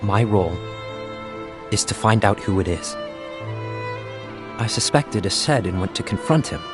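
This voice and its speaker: Brooding troubled young guy, lowish voice, fantasy game cutscene, music under it. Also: animated, unhurried. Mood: grave, uneasy. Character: troubled, brooding young man entrusted with a secret. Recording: music behind the voice.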